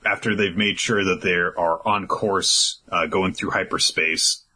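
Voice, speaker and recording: A man's voice: low-pitched, fairly even intonation, measured pace, pushed and bright, husky. A man co-hosting a podcast, recapping anime episodes in loose, rambling chat full of filler words. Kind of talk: casual chat.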